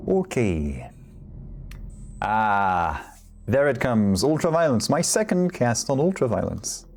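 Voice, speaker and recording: A man casting a competitive video game match solo, chatty and relaxed, often correcting himself. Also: mid-range voice, takes it slowly, slight background noise. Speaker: esports caster. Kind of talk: solo play-by-play commentary.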